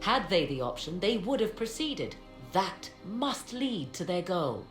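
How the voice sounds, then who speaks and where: A woman's voice: on the low side, sing-song, a little slow. An actress playing a proud, enigmatic witch and scholar of ancient lore in a video game, speaking in formal, archaic, theatrical phrases. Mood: urgent. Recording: roomy echo, music behind.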